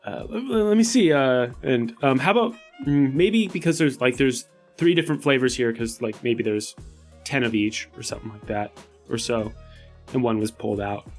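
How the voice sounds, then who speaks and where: A man's voice: medium pitch, normal speed, soft background music, lightly acted. A man voicing a nervous but generous hoarder in a tabletop game, muttering and stammering hesitantly. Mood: anxious, hesitant. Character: nervous, generous hoarder anxiously rationing his sodas.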